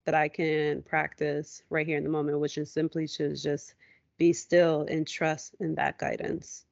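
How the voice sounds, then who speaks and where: Lower-voiced woman in reflective casual talk. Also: little pitch movement, measured pace, creaky, darkish tone, no room sound, dull audio. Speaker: podcast co-host. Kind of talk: reflective casual conversation about personal experience.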